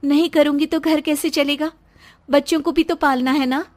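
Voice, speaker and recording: Woman playing a young working mother, high voice, earnest, pleading, determined, story character. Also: steady tone, moderate pace, lightly acted.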